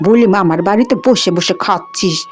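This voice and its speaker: A woman voicing a cruel, resentful aunt in an animated fairy tale, scolding and complaining. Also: medium pitch, a little fast, soft background music, close to an everyday voice. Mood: angry scolding. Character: nagging, cruel aunt resentful of her dependants.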